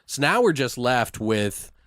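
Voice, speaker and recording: A man in a casual creative brainstorming chat, informal and sweary, thinking aloud in halting repetitions. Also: medium pitch, big pitch swings, fairly bright tone, no room sound. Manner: foul-mouthed, informal. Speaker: co-host brainstorming a horror audio drama.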